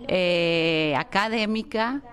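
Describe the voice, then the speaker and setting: Slow hesitant woman. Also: lowish voice, a bit bright. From Argentina. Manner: hesitant, many fillers and pauses. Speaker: interview guest, education researcher. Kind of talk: slow thoughtful explanation. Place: hotel room.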